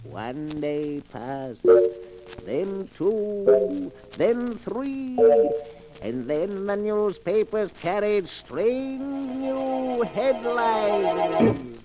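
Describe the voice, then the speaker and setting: A man narrating an old radio drama in a measured, dramatic manner. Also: sits high, very animated, poor audio. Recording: old radio recording with faint music.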